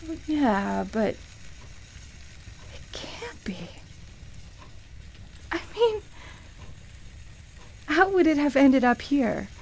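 A wonderstruck, nostalgic woman played by an actress in a radio play, speaking in a fairly high voice. She takes her time, halting and hesitant as she marvels at a magical shop. There is faint noise behind her. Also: big pitch swings, creaky, heavily acted. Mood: stunned disbelief.

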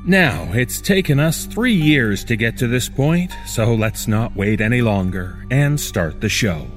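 A man hosting a horror podcast, reading a scripted introduction in a measured, deliberate way. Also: medium pitch, lively intonation, soft background music. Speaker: podcast host.

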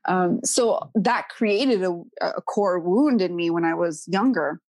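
A woman's voice: lowish voice, slow pace, a little mellow, no echo. A woman in her thirties from Quebec with a Quebec French accent, talking as a podcast guest in a casual chat, often adding "you know" and "right?".

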